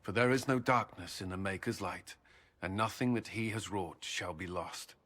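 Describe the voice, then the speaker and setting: An actor playing a guarded, devout military commander in a video game, speaking in an earnest, measured, solemn way. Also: male voice, low voice, a bit bright. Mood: solemn, prayerful. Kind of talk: dramatic video game dialogue.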